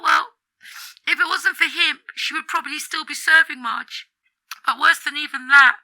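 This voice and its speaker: A woman voicing a distraught young female school worker in an audio horror story, halting, stammering and tearfully confiding. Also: high voice, sharp tone, pitched above the performer's own voice, exaggerated voice, low-quality recording. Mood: horrified confiding. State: tearful, upset.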